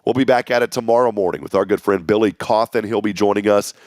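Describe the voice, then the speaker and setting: Hoarse low-voiced man hosting a morning show. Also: fast, a little mellow, no room sound, studio quality. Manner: folksy, upbeat community announcer. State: losing his voice. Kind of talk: local morning show announcements. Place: small local TV studio.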